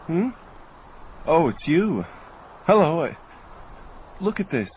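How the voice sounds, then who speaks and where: A man's voice: on the high side, very animated, takes it slowly, muffled recording, slight background noise. Swooping, dreamy angel craftsman. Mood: absorbed. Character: distracted, fussy male angel craftsman, dreamy. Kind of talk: audio drama acting.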